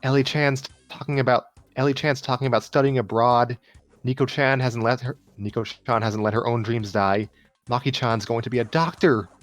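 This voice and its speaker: Wistful ex-idol girl played by a man, self-doubting, halting story-reading delivery, mid pitch, faint music underneath. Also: fairly even intonation, dry recording, close to an everyday voice. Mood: resigned. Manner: halting, repeats and restarts lines. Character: wistful, self-doubting young woman ex-idol running family shop. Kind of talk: dramatic fan fiction reading.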